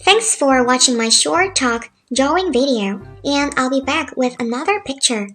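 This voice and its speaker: A woman in her thirties from South Korea, speaking English with a Korean accent, calmly narrating a chill, laid-back talk as a language tutor. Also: sits high, normal speed. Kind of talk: relaxed scripted narration over drawings. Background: faint music.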